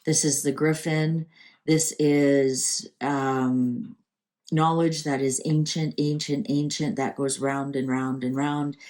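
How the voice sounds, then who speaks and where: A woman's voice: very deep voice, flat delivery, slow, a little mellow. A woman with a Canadian accent hosting a casual, improvised tarot reading, thinking aloud in a conversational way. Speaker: tarot reader and channel host.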